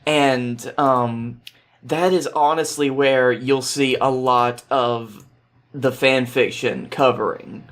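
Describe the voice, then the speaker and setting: A man's voice: mid-range voice, steady tone, slow, fairly bright tone. A man as a podcast guest, chatting casually and explaining video games earnestly, thinking aloud as he goes.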